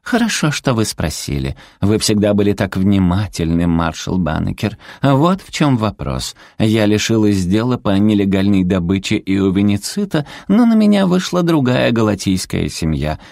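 A man's voice: lowish voice, very animated, normal speed, creaky, hardly any pauses, a little mellow, dry recording, clean studio sound. A man voicing a cute, small, furry female villain in an audiobook, sweetly polite and pouty with gushing manners. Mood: chirpy, polite.

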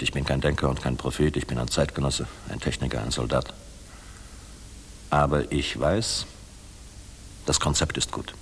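An actor playing a dashing, world-weary middle-aged air force general in a 1960s German radio play, musing and commanding. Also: male voice, a bass voice, gravelly, a little hiss or hum, exaggerated voice. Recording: reverberant 1960s mono radio recording.

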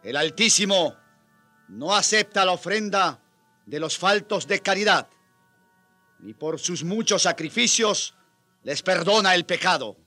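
An actor playing a troubled, irritable Spanish colonial priest in a dramatic radio play, prone to sighs and exclamations. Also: male voice, high-pitched, lively intonation, unhurried, long pauses, pushed and bright, faint music behind, exaggerated voice. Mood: solemn preaching.

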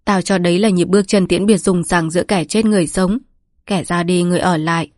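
A woman's voice: on the low side, fairly even intonation, brisk, a little mellow, no echo, studio quality, natural voice. A woman voicing a dying old village patriarch, bitter and sentimental, who laments and scolds in a weakened voice. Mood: solemn, wistful. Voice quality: weak, breathy, trembling delivery.

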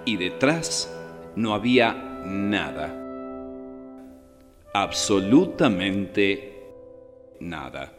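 A man's voice: fairly low pitch, big pitch swings, very slow, pauses often. A man narrating a radio drama in a scripted, dramatic style. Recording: reverberant hall sound. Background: faint music.

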